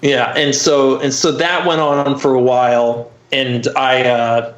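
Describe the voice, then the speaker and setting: A man's voice: medium pitch, level tone, slow. A man telling a personal story casually as a podcast guest, candid and profane, peppered with filler phrases. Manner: candid, profane, frequent "like" and "you know". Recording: roomy, slightly dull muffled audio.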